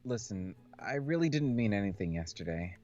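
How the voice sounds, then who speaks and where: A wary high school senior in a visual novel, played by a man. His voice drops low and sounds subdued and unsure. The audio is slightly dull, with music behind. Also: lively intonation, normal speed, darkish tone, lightly acted.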